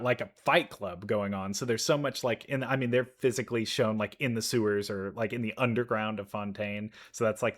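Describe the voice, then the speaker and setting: A man's voice: fairly low pitch, a bit bright. A man giving casual, unscripted commentary as host of a reaction video. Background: faint music.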